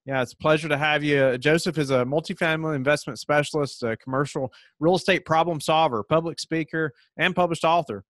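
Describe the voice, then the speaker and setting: A man's voice: fairly high pitch, brisk, hardly any pauses, dry recording, clean studio sound. A man in his thirties hosting an interview podcast, asking questions with lots of "you know". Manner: relaxed, many fillers like "you know". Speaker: podcast host. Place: home office.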